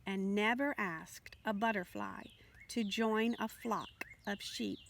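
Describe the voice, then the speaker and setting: A woman reading a children's picture book aloud as an expressive storytelling narrator. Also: medium pitch, slow, creaky, a little hiss or hum. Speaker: storybook reader.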